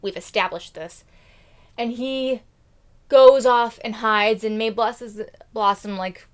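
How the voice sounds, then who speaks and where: A woman with a high voice tells a fairy tale as if chatting with friends. Her delivery is slangy and quirky, with a theatrical comic streak. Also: steady tone, takes it slowly. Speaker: storyteller.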